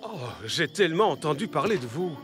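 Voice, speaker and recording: A man's voice: fairly high pitch, lively intonation, brisk, close to an everyday voice. An actor playing a mysterious, overly courteous male inventor who uses flowery, formal speech. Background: music score.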